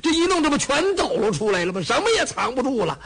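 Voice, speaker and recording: A man's voice: very high-pitched, sing-song, fast, background noise, pitched above the performer's own voice, heavily acted. A man doing a flustered, pleading estate owner. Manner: pleading, fretful.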